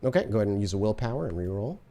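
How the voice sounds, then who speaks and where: A man's voice: lowish voice, lively intonation, rapid-fire, dark tone. A man running a tabletop horror game as storyteller, narrating the action vividly and explaining the rules patiently to his players.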